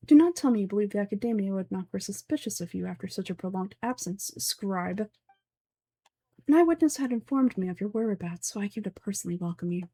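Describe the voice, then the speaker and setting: A woman's voice: mid-range voice, expressive, fast talker, dark tone, husky, no room sound. A woman voicing a pompous, fanatical older male sage from a game, speaking formally and in declamatory fashion. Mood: coldly courteous. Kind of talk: game dialogue dub.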